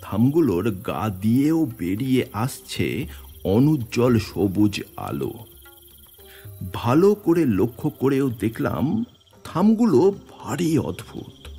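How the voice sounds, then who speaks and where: A man narrating a horror story in the first person, with suspenseful, theatrical, deliberate phrasing over music. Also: medium pitch, wildly swooping pitch, warm tone, breathy edge.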